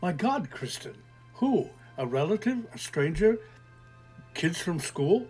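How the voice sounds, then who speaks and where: A man reading an audio story, voicing a calm, skeptical grown man who patiently explains things away in a soothing, lecturing way. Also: high-pitched, takes it slowly, slightly rough, soft background music, exaggerated voice. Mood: reassuring.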